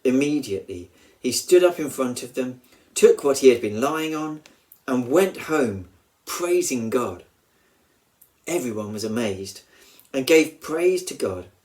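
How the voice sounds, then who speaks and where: Slow, expressive man reading scripture. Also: mid-range voice, rough recording. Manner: measured, expressive, with deliberate pauses. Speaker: church minister reading scripture.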